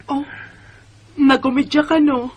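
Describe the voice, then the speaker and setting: An actress with a Cebuano accent and husky voice playing an emotional single mother in a Bisaya radio drama. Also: sits high, little pitch movement, a little slow. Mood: surprised, doubting. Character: emotional, devout single mother being courted.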